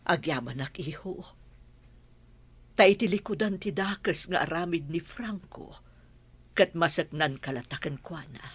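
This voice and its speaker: A woman's voice: low voice, breathy edge, no room sound, exaggerated voice. A woman playing a tender, sorrowful middle-aged mother of grown sons in an Ilocano radio drama. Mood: tender, sad. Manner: slow, tender, emotive.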